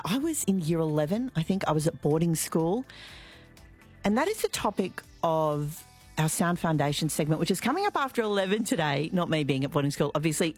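A middle-aged Australian woman hosting a radio show in breezy chat, upbeat with a lot of "uh" fillers. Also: low-pitched. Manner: chatty and upbeat, with frequent "uh" fillers. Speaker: radio host. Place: radio studio. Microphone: studio microphone.

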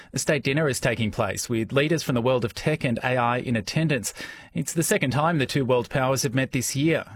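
Male news presenter, steady formal bulletin read, light background hiss. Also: mid-range voice. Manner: measured, formal. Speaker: newsreader.

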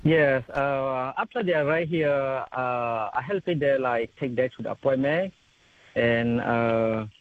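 A young man from Thailand, a community interpreter, speaking halting non-native English with many fillers and restarts. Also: mid-range voice, no room sound. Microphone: telephone. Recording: tinny phone line.

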